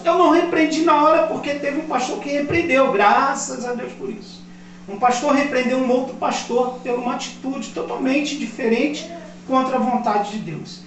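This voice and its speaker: A man in his fifties, a pastor from Brazil, preaching a sermon that swells louder on key points. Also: very high-pitched. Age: 50s. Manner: impassioned preaching, rising loud on key points. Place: church. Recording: echoey church hall, mic at a distance. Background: congregation responding.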